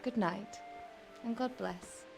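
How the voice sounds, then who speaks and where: An actress playing a melancholy, gentle young medieval noblewoman in a video game, speaking in a wistful, formal, old-fashioned way. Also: medium pitch, takes it slowly, vocal fry, music in the background, low-quality recording. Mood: gentle, resigned. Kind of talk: dramatic video game dialogue.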